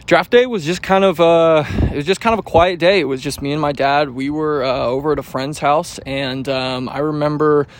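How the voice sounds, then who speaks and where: A man in his twenties, a baseball pitcher, answering pregame interview questions in a relaxed, rambling way with many fillers and restarts. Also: normal speed, hardly any pauses. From United States. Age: 20s.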